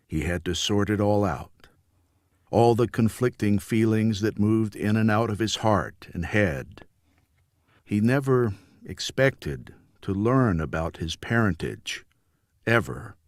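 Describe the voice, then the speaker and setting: A man narrates a novel in a low voice at a very slow pace. His delivery is solemn and deliberate, like a careful audiobook reading, and the sound is dry and close. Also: no room sound.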